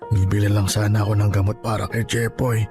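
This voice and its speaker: A man's voice: on the low side, level tone, a little fast, music in the background, natural voice. Man acting as hesitant, pleading old Filipino grandfather. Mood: sheepish, pleading. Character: proud, poor old grandfather peanut vendor. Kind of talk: Filipino radio drama acting.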